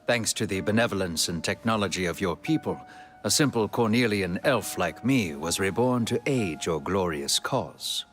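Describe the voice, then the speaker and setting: An actor playing a dutiful, self-effacing elf scout bred to serve in a fantasy game, speaking formally and politely. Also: male voice, low-pitched, expressive, normal speed, faint music behind. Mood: solemn. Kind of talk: cinematic video game acting.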